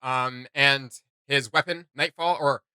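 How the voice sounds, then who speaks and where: A man's voice: mid-range voice, steady tone, slow, pushed and bright, clear, resonant tone, no room sound, clean studio sound. A man hosting a reaction video, enthusiastic and slangy, thinking aloud in casual commentary.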